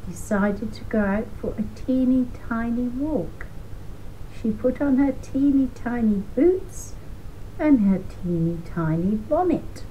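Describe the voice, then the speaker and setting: An older woman reading a children's storybook aloud in a deliberate, gently emphatic way. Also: medium pitch, slight background noise, low-quality recording.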